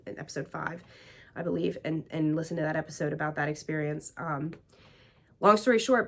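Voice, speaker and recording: Woman, American accent, low voice, chatty, gushing, casual host talk. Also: a bit bright. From Greece and the United States. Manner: chatty and gushing, many "like" fillers. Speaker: podcast host and priestess. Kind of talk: casual personal recap talk.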